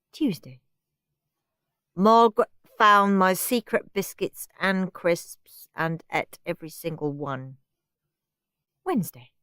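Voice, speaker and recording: A woman's voice: on the low side, fairly bright tone, no echo, studio quality. A woman reading aloud, voicing a cheeky, fed-up young boy reading out his grumbling diary entries.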